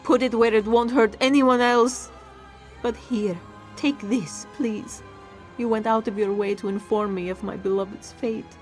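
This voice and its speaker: A woman's voice: on the high side, fairly even intonation, a little slow, soft background music, natural voice. A woman playing an anxious, grieving and bitter lover in scripted fantasy game drama. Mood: sombre.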